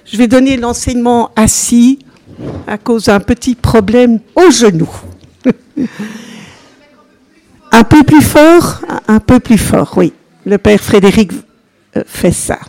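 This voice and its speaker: An older woman with a Belgian French accent, a religious sister giving an informal, chatty teaching to a seated group and often pausing to address them directly. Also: fairly high pitch, a little mellow. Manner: chatty, unhurried, peppered with "voilà", engages listeners.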